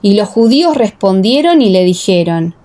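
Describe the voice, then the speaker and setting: A woman's voice: medium pitch, big pitch swings, moderate pace, warm tone. A woman narrating a dramatized Bible audiobook in a solemn, carefully phrased way.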